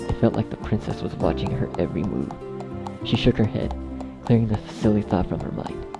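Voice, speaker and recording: A man narrating a dark fan fiction story as a scripted reading. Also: lowish voice, brisk, gravelly, over loud music.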